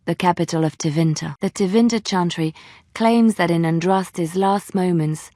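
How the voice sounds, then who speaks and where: A woman playing a gentle, devout former minstrel turned chantry sister in a fantasy video game, speaking in a measured, earnest, lyrical storyteller's manner. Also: lowish voice, no room sound, clean studio sound.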